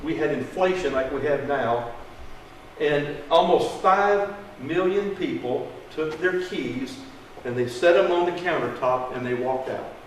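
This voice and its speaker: A man's voice: a little high, a little slow. Folksy man teaching in an echoey hall. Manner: folksy, asks the audience questions, emphatic. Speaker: financial advisor teaching a seminar. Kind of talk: classroom presentation. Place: large echoey room. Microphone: room mic from a distance. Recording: echoey room, distant mic, faint hiss.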